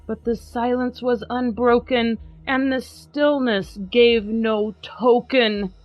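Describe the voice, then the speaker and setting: A woman podcast host giving a dramatic poetry reading, trading lines with a co-reader in a measured way. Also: fairly high pitch, steady tone. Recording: slightly muffled with faint music.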